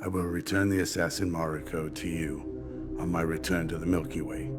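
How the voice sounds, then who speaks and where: Deep-voiced stoic starship captain played by a man. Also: little pitch movement, natural voice. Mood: cool defiance. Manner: measured, formal, firm. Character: stoic, hard-bargaining male starship captain. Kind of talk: radio drama acting. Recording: loud music behind the voice.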